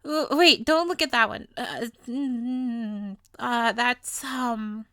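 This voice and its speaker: A woman's voice: a little high, slow, fairly bright tone, no echo, studio quality. A woman playing a sweet, clingy, obsessively lovestruck young witch in an intimate comedic roleplay, with a cutesy, gushing, playful manner. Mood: flustered. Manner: cutesy, gushing, flustered stammers, playful asides. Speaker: audio roleplay voice actor.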